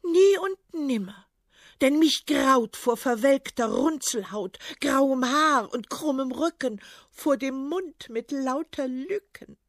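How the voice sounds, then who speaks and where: A woman's voice: high-pitched, expressive, slow pace, no room sound, studio quality, voice pushed up. A woman voicing a young, haughty sea princess with a mocking, commanding manner. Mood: scornful.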